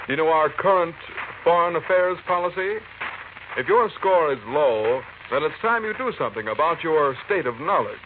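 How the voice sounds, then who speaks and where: A man with an American accent makes formal, emphatic announcements in a 1940s radio style. His voice is fairly high. The recording is very tinny with slight background noise.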